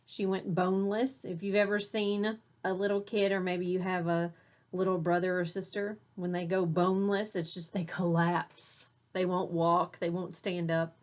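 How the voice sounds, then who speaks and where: A woman teacher reading a picture book aloud to children, pausing for simple explanatory asides. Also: on the low side, flat delivery.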